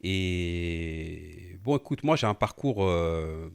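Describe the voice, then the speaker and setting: A man in his thirties, born in Portugal and raised in France, co-hosting a podcast and telling his life story in a relaxed, familiar way with lots of fillers. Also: low-pitched, slow pace, vocal fry, clear, resonant tone. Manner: relaxed, familiar, frequent fillers and repeated "tu vois". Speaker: podcast co-host, entrepreneur. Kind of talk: casual conversational storytelling.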